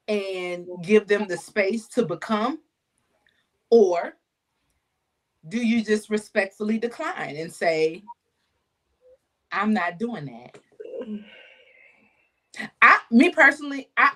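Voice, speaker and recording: A woman's voice: medium pitch, fairly bright tone. A woman, co-hosting a podcast in a playful, confident, emphatic manner. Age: 30s. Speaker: podcast co-host. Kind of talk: casual chat.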